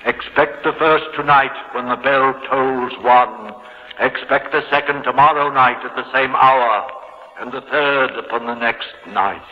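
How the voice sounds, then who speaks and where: Man as remorseful dead businessman's ghost: slow, solemn declamation drenched in long reverb, vintage radio play. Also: mid-range voice, lightly acted. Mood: grave warning. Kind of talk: 1950s radio drama acting. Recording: reverberant, dull, hissy old radio broadcast.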